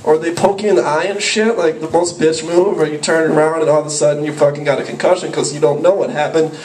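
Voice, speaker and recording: A man's voice: on the high side, steady tone, fast, a little mellow. Profane young man doing stand-up. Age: young adult. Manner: profane, rambling, jumps into yelped character voices. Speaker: stand-up comedian. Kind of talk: open mic stand-up. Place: small cafe with a live audience. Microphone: handheld mic, filmed from a far camera. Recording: roomy, distant camera audio with room noise. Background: audience laughter.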